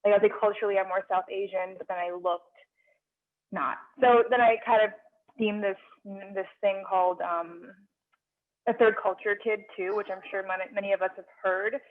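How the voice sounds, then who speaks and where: A young woman from Nepal sharing a casual, animated story as an audience member on a video call. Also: medium pitch, moderate pace. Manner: chatty, animated storytelling with many fillers. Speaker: audience participant. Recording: tinny phone-like video call audio.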